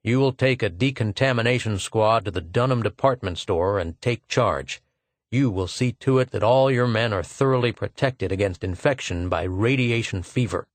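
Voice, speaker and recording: A man voicing a cold, crisp army colonel giving commands in a novel. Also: fairly low pitch, moderate pace, dry recording, close to an everyday voice.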